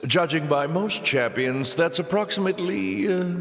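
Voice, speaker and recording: An actor voicing a sardonic, pompous demon lord in a video game, taunting with dry, theatrical sarcasm. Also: male voice, a little high, lively intonation, normal speed, fairly bright tone. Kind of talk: scripted video game character voice lines. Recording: echoey hall with long reverb.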